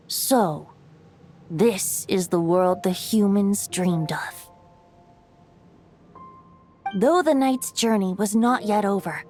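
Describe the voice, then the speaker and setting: A woman's voice: on the high side, a little mellow. A woman voicing a youthful male bard god who tells an old legend in a lilting, lightly teasing manner. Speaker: storyteller narrating a legend. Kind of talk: game cutscene voice acting.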